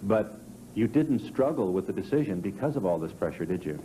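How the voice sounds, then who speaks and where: Fast, low-voiced male interviewer. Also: animated, mellow, slight background noise, low-quality recording. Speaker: talk show interviewer. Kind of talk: broadcast interview, leading questions.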